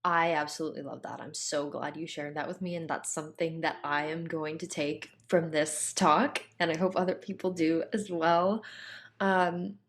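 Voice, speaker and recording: A woman's voice: sits low, steady tone, moderate pace. Affirming woman podcast host. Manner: enthusiastic, affirming. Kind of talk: casual conversational interview.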